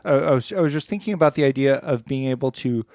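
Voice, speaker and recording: A man co-hosting a comedy podcast, musing in a halting, mock-earnest way about absurd ideas in casual chat. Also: mid-range voice, a little mellow, no room sound, clean studio sound.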